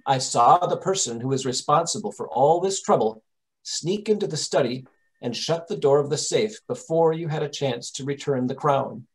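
A man playing a shrewd, composed English gentleman detective in old-time radio mystery style, formal and precise. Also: medium pitch, normal speed, lightly acted, rough recording. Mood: assured, explaining.

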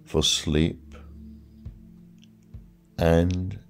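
A man leading a guided sleep hypnosis as a hypnotherapist, speaking in a soothing, hushed, unhurried way with gentle repeated cues. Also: low-pitched, little pitch movement. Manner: slow, soothing, hushed delivery with repeated relaxation cues and counting. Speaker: hypnotherapist, channel host. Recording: background music, echoing reverb on layered voice.

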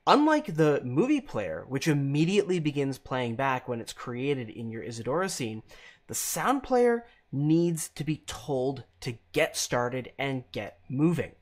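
A man in his thirties narrates an instructional screencast. His medium-pitched voice rises and falls in a lively way as he explains each step deliberately, phrase by phrase. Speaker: tutorial presenter.

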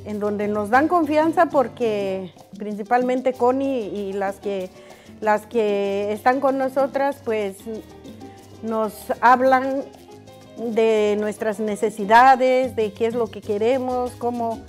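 A woman in her forties from Guatemala, speaking softly and haltingly. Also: fairly high pitch, faint music behind. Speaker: interviewee. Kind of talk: testimony. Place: room of orange chairs.

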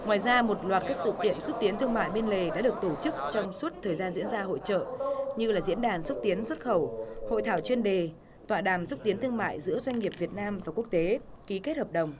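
A woman narrating a television news report in a steady, formal reading voice. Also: medium pitch, brisk, a crowd in the background. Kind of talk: scripted news voice-over.

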